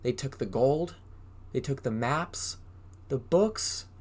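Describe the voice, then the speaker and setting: A man with a mid-range voice reads a children's storybook aloud. He is an animated drama teacher who exaggerates his delivery and keeps turning to his young listeners with questions and prompts to play along. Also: mid-range voice, slow pace. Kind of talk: interactive children's read-aloud.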